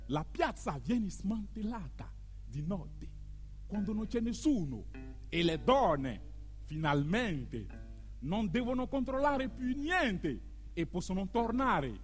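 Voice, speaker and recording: A man's voice: high voice, long reverb tail, full character voice. A man playing a mocking theatrical orator in a radio drama, declaiming with insistent, incantatory repetition. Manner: incantatory, repeating phrases insistently.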